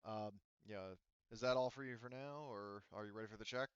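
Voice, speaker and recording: A man's voice: lowish voice, fairly even intonation, brisk, clean tone, no echo, highs cut off, lightly acted. A man voicing a polite, hesitant waitress in a tabletop roleplaying game. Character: polite waitress at a colony bar.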